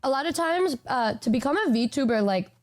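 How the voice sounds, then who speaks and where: Woman, twenties, streamer; slightly high, loose rambling delivery. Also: expressive, normal speed. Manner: rambling, swears, says "bro" and "like" a lot. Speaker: VTuber streamer. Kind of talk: casual stream chat.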